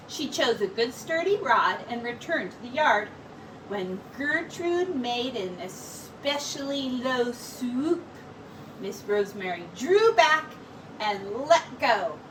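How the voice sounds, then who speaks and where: A woman teacher reading a picture book aloud in slow, expressive storytelling. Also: fairly high pitch, recorded from a distance, noisy background.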